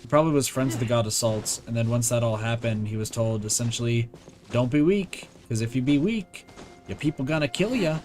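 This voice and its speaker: A man's voice: mid-range voice, a little fast, darkish tone. A young man commentates casually on a game stream. He talks in loose slang, repeating his phrases and swearing offhand, with faint game music underneath.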